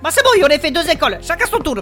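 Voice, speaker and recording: A timid male adventurer voiced by a woman with a very high, sharp voice. He grumbles warily and drags his feet. It sounds like a fantasy audio comedy, with faint music behind. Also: sing-song, racing, heavily acted. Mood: sulky.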